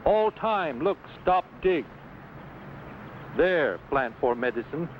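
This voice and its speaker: A dull, noisy old radio recording of a friendly medicine man. He talks slowly in short, halting, broken phrases, plain-spoken and unhurried, in a radio adventure style. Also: fairly high pitch, sing-song. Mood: calm, halting. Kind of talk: old-time radio adventure drama acting.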